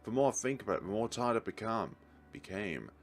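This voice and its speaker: A man's voice: lowish voice, expressive, a little fast, slight background noise. A man reading visual novel narration aloud as a let's player, theatrical with varied emphasis and occasional stumbles. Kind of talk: dramatic reading of game narration.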